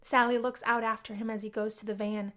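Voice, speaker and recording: Lively woman reading a visual novel. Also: a little high, a little fast. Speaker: let's play host.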